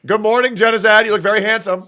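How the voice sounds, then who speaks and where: A man's voice: high voice, sharp tone. A man hosting a gaming stream, chatting on a voice call with crude, teasing banter. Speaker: streamer host.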